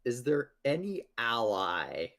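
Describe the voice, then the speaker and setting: A man's voice: medium pitch, huge pitch swings, slow, a bit bright. A man talking casually as a player in a tabletop role-playing game.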